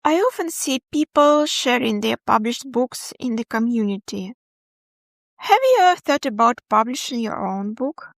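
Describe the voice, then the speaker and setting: A woman's voice: on the high side, lively intonation, moderate pace, no echo. A woman hosting a podcast interview, asking questions in a friendly, encouraging way and often repeating her words. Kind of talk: casual interview.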